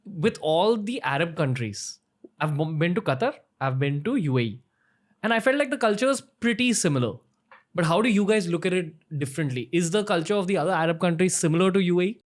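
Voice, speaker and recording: A man's voice: high-pitched, expressive, brisk. A man from India hosting a podcast, asking direct and curious questions in a casual interview. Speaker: podcast host. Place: studio in Mumbai.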